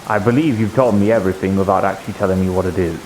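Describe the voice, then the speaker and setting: A man playing a shrewd, probing member of an abbey in improvised roleplay, speaking in a measured, insinuating, formal way. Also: low-pitched, fairly even intonation, darkish tone, close to an everyday voice, rough recording. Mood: coolly threatening. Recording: hissy live stream microphone.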